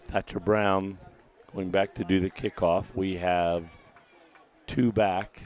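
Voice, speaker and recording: A man with a deep, gravelly voice, calling a live local high school football game in a folksy manner while recovering from a lost voice. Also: slow pace, a faint crowd. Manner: folksy, excitable on big plays. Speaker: play-by-play announcer. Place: outdoor stadium press box. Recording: outdoor stadium broadcast.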